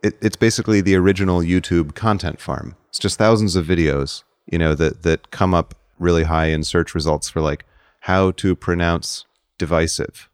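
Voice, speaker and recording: A man's voice: deep voice, fairly even intonation, normal speed, few pauses, clean tone, dry recording, studio quality. A man hosting a podcast in casual conversation, often repeating words as he feels his way through a sentence. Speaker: podcast host.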